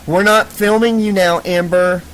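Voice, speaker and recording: A woman's voice: medium pitch, fairly even intonation, unhurried, slight background noise, voice pushed up, lightly acted, rough recording. A man voicing a bossy, brash young woman directing a homemade film. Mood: coaxing.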